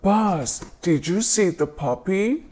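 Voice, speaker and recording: Brief: a woman doing a friendly police car from a kids' storybook, earnest and upbeat, slow and lively, noisy recording. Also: fairly low pitch, pitched below the performer's own voice. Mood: eager helpful. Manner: earnest, eager to help. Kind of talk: storybook read-aloud. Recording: noticeable background noise.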